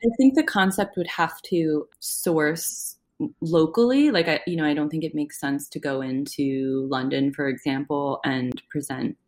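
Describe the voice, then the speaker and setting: Excited, chatty woman guest, low-pitched and relaxed. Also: normal speed. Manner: excited, chatty, laughs easily. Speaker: guest, business founder. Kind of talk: casual interview chat.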